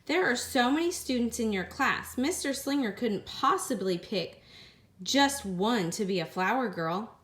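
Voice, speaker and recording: A woman's voice: on the high side, expressive, measured pace, fairly bright tone, roomy sound. A woman voicing a concerned, reasonable mother mouse in a storybook, questioning and explaining. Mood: doubtful, reasoning.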